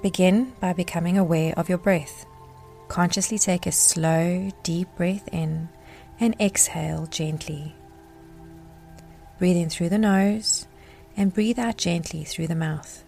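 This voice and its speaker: Woman doing a guided meditation, lowish voice, gentle n measured, soft music and rain behind her. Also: steady tone, creaky. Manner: measured, gentle, deliberate phrasing with pauses. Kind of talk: guided meditation narration, instructional.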